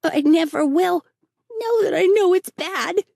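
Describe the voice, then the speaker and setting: A woman's voice: high voice, expressive, a little slow, a little mellow, dry recording, clean studio sound, pitched above the performer's own voice. A woman doing a frank, mischievous little boy. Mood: sobbing pleas. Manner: childlike, colloquial, pleading.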